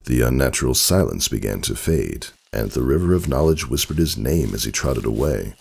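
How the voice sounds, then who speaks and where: A man narrating a story as a dramatic scripted reading, with a gravelly, husky voice and expressive literary delivery. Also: extremely low pitch, normal speed.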